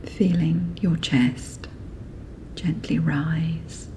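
A woman narrating a guided sleep meditation in a soft, breathy, whispery, soothing manner. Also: low-pitched, fairly even intonation, very slow, a little hiss or hum, low-quality recording.

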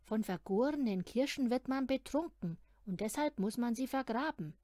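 A woman's voice: on the high side, expressive, moderate pace, mellow, no room sound, natural voice. A woman voicing a farm mother who gives plain household instructions in a children's storybook. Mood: instructing.

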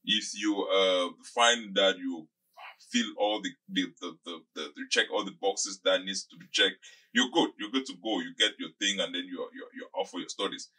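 A man's voice: low voice, moderate pace, pushed and bright, poor audio. A man in his thirties hosting a podcast interview in a conversational way, wordy and repeating phrases as he thinks aloud, at a close studio microphone. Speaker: podcast host. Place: small indoor podcast studio. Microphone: desk microphone close up, with headphones.

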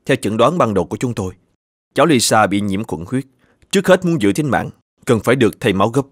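A man voicing a serious, considerate hospital doctor in a cartoon, speaking in a grave, measured, formal way. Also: mid-range voice, expressive, close to an everyday voice. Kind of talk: animated children's story dubbing.

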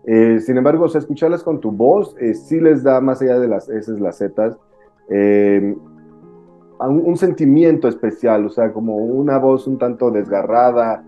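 A man's voice: mid-range voice, moderate pace, muffled recording, soft background music. A man in his forties hosting an interview over a video call, asking long, effusive questions padded with fillers. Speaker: interviewer, host.

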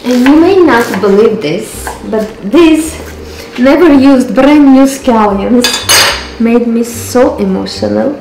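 Slow-paced woman cooking in echoey kitchen. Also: on the high side, animated, noisy background. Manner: unhurried, murmuring to self between instructions. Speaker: cooking show host. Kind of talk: casual cooking demonstration, talking while working. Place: home kitchen, indoors. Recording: roomy echoey kitchen, music behind. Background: music, clatter of dishes and pans.

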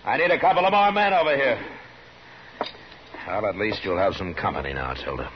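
Very deep-voiced stern frontier marshal played by an actor. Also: male voice, animated, brisk, lightly acted. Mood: controlled. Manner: terse, commanding. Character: stern, steady frontier marshal in the Old West. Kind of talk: 1950s radio Western acting. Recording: noisy, dull 1950s radio recording.

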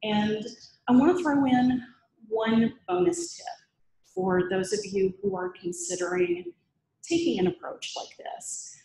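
Lively gravelly-voiced woman speaker. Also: high-pitched, a little slow. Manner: lively, chatty, self-deprecating humor. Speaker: conference speaker. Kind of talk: presentation talk with Q&A. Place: meetup room with audience. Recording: echoey room.

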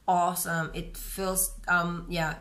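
A woman's voice: lowish voice, level tone, slow pace, fairly bright tone. A woman in her thirties from the Philippines with a Filipino accent, chatting casually to the camera in a room at home and filling her talk with "you know". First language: Visaya. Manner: chatty, many "you know" fillers and restarts. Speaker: vlogger. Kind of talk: casual vlog chat, reading comments. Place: bright room at home, indoors.

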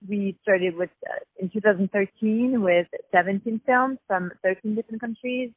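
A woman from Paris with a French accent, a festival founder explaining things in a measured way over the phone. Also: mid-range voice, breathy edge, dry recording. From Paris, France. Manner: measured, explanatory, many fillers. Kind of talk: phone interview. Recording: tinny phone line, dry.

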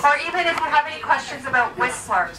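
A woman's voice: lively intonation, quick pace, over music. A woman working as a train attendant, chatting loosely and in a friendly way through an onboard sales announcement, picked up by a far camera. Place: inside a train coach. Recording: distant voice over train rumble.

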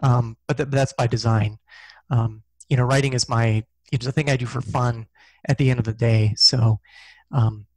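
A man's voice: mid-range voice, fairly even intonation, moderate pace, a little mellow, dry recording, poor audio. A middle-aged man talking casually as an interview guest, thoughtful and self-deprecating, on a headset mic. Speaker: guest, physicist and science fiction author. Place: small indoor room, video call.

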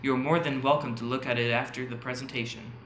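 A man's voice: mid-range voice, fairly even intonation, fast, fairly bright tone, close to an everyday voice. A man playing a polite NASA employee giving a formal presentation in an audio drama. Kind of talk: scripted presentation. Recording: roomy echo, faint background noise.

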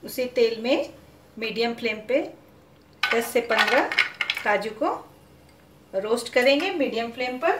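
Calm woman cooking host in roomy kitchen. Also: fairly high pitch, a little slow, long pauses, heavy background noise. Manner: calm, instructive, mixes English cooking words into Hindi. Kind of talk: step-by-step recipe narration.

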